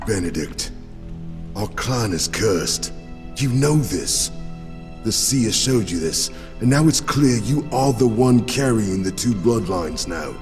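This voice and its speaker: A man playing a solemn warrior clansman in a fantasy audio drama, speaking in a grave, portentous, deliberate manner. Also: lowish voice, lively intonation. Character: solemn warrior clansman warning of dark magic. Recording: long hall reverb, music underneath.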